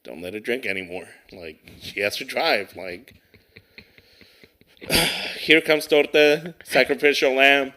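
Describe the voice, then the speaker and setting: Bright, slangy man chatting on a podcast. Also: mid-range voice, unhurried. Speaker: podcast co-host. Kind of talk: casual podcast chat.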